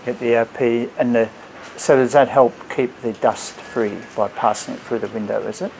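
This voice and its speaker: A man's voice: medium pitch, fairly even intonation, normal speed, a little mellow. A middle-aged man hosting a tour in a casual, agreeable and approving way, chatting on the spot. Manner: agreeable, approving short responses. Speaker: host of factory tour. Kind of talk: casual on-site tour chat. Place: indoors in electronics factory rooms and assembly hall. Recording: noisy factory ambience, handheld camera sound.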